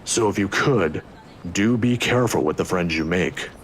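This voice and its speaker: A woman voicing a kindly old male professor, courteous and gently teasing, for a visual novel. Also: very deep voice, moderate pace, a little hiss or hum, voice pushed down. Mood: gentle, advising.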